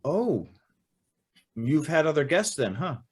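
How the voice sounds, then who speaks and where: A man's voice: on the high side, very animated, slow, a little mellow, a little hiss or hum. A man playing an earnest, hesitant young man in love in an old-time radio style drama. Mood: smitten, nervous. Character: earnest, smitten young man, increasingly horrified guest.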